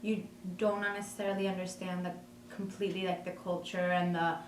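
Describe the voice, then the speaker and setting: A young woman in her twenties, a college student, answering interview questions candidly and quickly. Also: on the low side, little pitch movement, slight background noise. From Mexico. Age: 20s. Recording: roomy.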